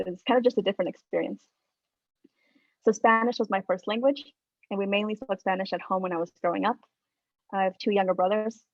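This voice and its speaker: A woman from Mexico in her thirties, a statistics professor giving a relaxed academic talk over a video call, with frequent ums and uhs. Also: medium pitch, dry recording. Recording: dry, phone-like video call audio.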